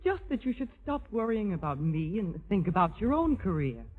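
An actress playing a patient, loving young wife who pleads and coaxes earnestly, husky-voiced, in 1950s radio drama style. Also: female voice, mid-range voice, sing-song, moderate pace. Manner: earnest, soothing, coaxing. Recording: muffled, tinny 1950s radio recording, faint music.

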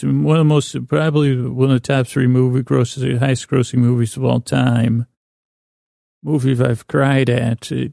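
A man hosting a bedtime podcast in a rambling, halting solo monologue, self-deprecating and whimsical, with a gravelly voice, close on the mic. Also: mid-range voice, dark tone, no room sound. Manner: meandering, halting, repeats and loses his thread. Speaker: podcast host.

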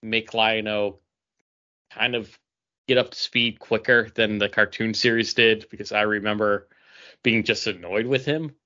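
A man talks casually as a podcast co-host. His voice is fairly low yet bright and forceful, on a slightly dull recording. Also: fairly even intonation, measured pace. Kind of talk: casual chat.

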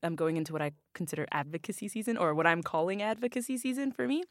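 A young woman, a bike racer, talking casually as a guest in an interview, naturally loud and laughing often. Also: on the low side, fast talker, no echo, clean studio sound.

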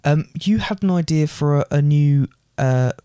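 A man's voice: a little high, moderate pace, dark tone, clear, resonant tone, dry recording, clean studio sound. A young man co-hosting a podcast, earnestly explaining his views in casual chat. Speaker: podcast co-host.

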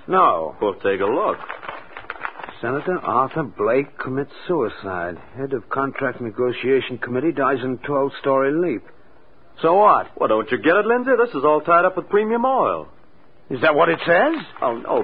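An actor playing a shady, tense schemer in a 1940s radio crime drama. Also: male voice, mid-range voice, a little hiss or hum, lightly acted. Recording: tinny vintage radio recording.

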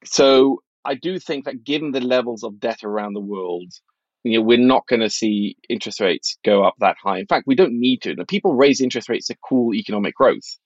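A man's voice: medium pitch, a little fast, darkish tone, no echo, dull audio. A middle-aged man from Norway talking casually and analytically as an interview guest, blunt and opinionated in an informal way. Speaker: financial journalist and author, interview guest.

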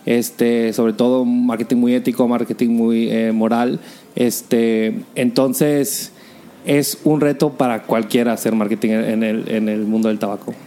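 Mexican guy on a podcast, mellow relaxed voice, chatting casually, kinda feels around for his words. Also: mid-range voice, unhurried. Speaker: podcast guest, digital marketing professional. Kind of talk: casual interview talk. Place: indoors, in a home.

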